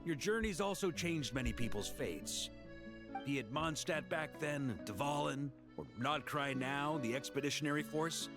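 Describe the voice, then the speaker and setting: Hearty game knight captain, easygoing but theatrical, pitch moves around a lot, bit of music under it. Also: male voice, lively intonation, a little slow. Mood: reflective. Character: hearty male knight commander, rugged, jovial, philosophical. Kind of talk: dramatic video game voice acting. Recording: music behind the voice.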